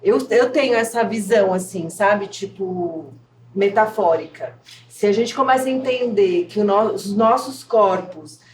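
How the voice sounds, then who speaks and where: A woman's voice: medium pitch, measured pace, darkish tone. A woman from a theater company speaking passionately and searching for her words in a casual discussion. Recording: distant mic in an echoey, noisy room.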